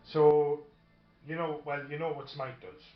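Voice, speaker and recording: A man's voice: on the high side, little pitch movement, measured pace, faint music behind. A man playing a tabletop wargame, chatting casually and hesitantly as he thinks aloud and reads out rules. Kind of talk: casual game chat, partly reading rules aloud. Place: indoor room during a filmed tabletop game. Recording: roomy, dull, faint background noise. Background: faint noise, radio playing.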